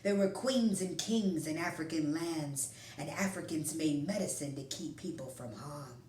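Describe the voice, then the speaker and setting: A woman reading a children's picture book aloud, often in a hushed near-whisper. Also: low voice. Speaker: storyteller. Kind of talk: children's story read-aloud. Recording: roomy, distant, faint background noise.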